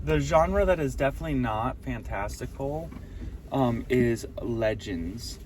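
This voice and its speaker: A man's voice: medium pitch, lively intonation, a little slow. A man giving an informal lesson as a teacher, explaining in a didactic way and prompting with questions and key terms. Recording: distant mic, very loud outdoor traffic-like noise.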